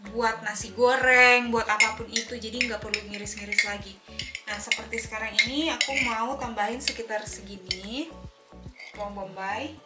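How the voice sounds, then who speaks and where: A woman from Makassar with a soft Makassar accent, chatting through each cooking step in a home kitchen. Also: fairly high pitch, fairly even intonation, normal speed. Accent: Makassar Indonesian, softened by years in Jakarta. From Makassar, Indonesia. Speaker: cooking vlog host. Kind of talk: casual cooking demonstration. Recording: echoey kitchen, far from the mic, loud music.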